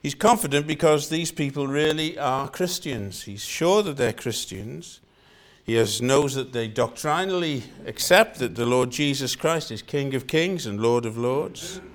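A man's voice: mid-range voice, expressive, measured pace. An older man from Wales with a Welsh accent, preaching a sermon in an earnest style and repeating phrases for emphasis.

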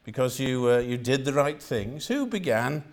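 A man's voice: medium pitch, sing-song, moderate pace. An older man from Wales with a Welsh accent, preaching a sermon in an earnest style and repeating phrases for emphasis.

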